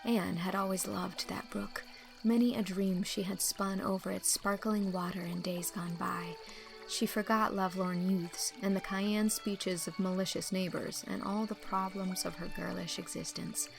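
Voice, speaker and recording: A woman narrates an audiobook in a scripted, literary style. Her mid-range voice has a noticeable creak, with faint music behind it. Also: medium pitch, fairly even intonation, measured pace.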